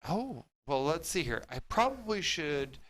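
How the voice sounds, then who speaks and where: A man's voice: fairly high pitch, big pitch swings, fairly bright tone. A man co-hosting a casual livestream, muttering to himself as he works the tech and reads out viewer messages. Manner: halting, muttering to self while working. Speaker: livestream co-host running the tech. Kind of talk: casual chat, reading viewer messages aloud.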